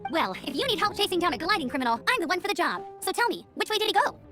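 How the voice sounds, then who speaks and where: A spirited young knight scout speaks in a very high, girlish voice with animated swings. She sounds eager and confident, in game-style acting over clear music. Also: rapid-fire, full character voice. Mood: determined, upbeat. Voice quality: youthful, girlish. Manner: spirited, earnest. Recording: music behind the voice.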